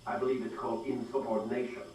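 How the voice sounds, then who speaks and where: An actor playing a cold, domineering boss scientist in a video game, speaking in a pointed, condescending way. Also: male voice, medium pitch, flat delivery, quick pace, darkish tone, lightly acted. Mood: irritated, condescending. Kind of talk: video game cutscene acting. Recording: very echoey, distant, faint noise.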